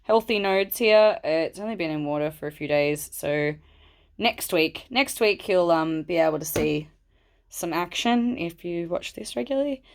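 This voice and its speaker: Low-voiced snuffly woman chatting about plants. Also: lively intonation, moderate pace. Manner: chatty, rambling, talks to her plants. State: stuffy and snuffly from a cold. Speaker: plant vlogger. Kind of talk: casual chat while handling plants. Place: at home indoors. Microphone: phone camera.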